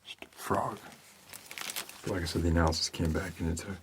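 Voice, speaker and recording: A man performing an ASMR roleplay as a world-weary homicide detective, rambling hesitantly in a deadpan manner. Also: sits low, fairly quick, noisy background. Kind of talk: slow ASMR roleplay monologue.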